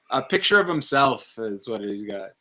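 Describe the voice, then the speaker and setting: A man's voice: medium pitch, sharp tone. A man talking casually about movies, opinionated and rambling, with some swearing. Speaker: film review show panelist.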